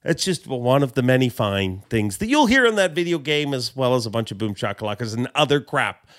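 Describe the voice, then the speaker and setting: A man chatting as a podcast host, his voice slightly hoarse and swinging widely in pitch. He talks in a loose, self-mocking, joking way, wandering from point to point. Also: big pitch swings, fairly quick. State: a little hoarse. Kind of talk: casual podcast chat.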